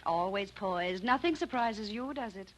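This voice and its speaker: Actress as scornful, poised wife with animated delivery. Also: female voice, medium pitch, a little slow, lightly acted. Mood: icy sarcasm. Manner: sarcastic, mock-polite, haughty. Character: scornful, poised estranged wife confronting her husband. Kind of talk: old-time radio drama acting. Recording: vintage radio recording, light hiss.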